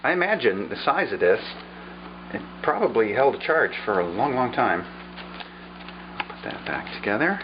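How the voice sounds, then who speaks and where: A man casually showing off and explaining an old radio, unhurried and thinking aloud with fillers. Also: mid-range voice, sing-song, lo-fi sound, noisy background. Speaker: vlogger showing a vintage radio. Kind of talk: casual show-and-tell demonstration.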